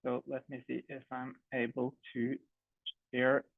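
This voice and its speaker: A man's voice: medium pitch, monotone, a little slow, gravelly, no room sound. A young man with a Norwegian accent, a startup co-founder giving a friendly, chatty product demo over a video call, with many fillers. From Norway. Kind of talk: online demo presentation. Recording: dry, phone-like video call audio.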